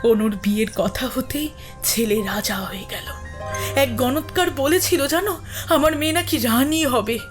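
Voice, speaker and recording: A woman's voice: sits high, unhurried, music in the background. An actress playing a proud, boastful mother who talks grandly about her daughter's fortune, in an audio drama. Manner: boastful, emphatic.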